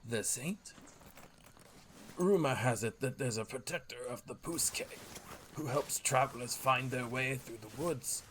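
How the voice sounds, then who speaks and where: A man playing a formal, polite elf warrior in a fantasy audio drama, with a creaky voice. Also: medium pitch, measured pace, fairly bright tone, slight background noise, natural voice, rough recording. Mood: admiring. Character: courteous, formal male elf warrior, slender and earnest. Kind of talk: tabletop-inspired audio drama acting.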